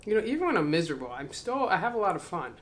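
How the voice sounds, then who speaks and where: A man reading a spiritual book aloud to camera. He adds slow, musing commentary, questions himself, and trails off into mumbles. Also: high-pitched, very animated, a bit bright, a little hiss or hum.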